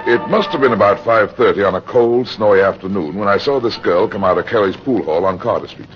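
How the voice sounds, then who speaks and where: Man acting deep-voiced tough 1940s radio detective. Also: fairly quick, music in the background, lightly acted. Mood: vivid recounting. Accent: American. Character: world-weary, tough but gallant male private detective. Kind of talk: 1940s radio drama acting, first-person detective narration. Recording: dull, noisy old-time radio recording.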